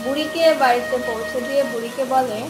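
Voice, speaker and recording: Narrator casting: woman with a high register for scripted kids' tales, over loud music and rainfall. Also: fast talker. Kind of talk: scripted children's story narration. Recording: echoey, loud music and rain behind.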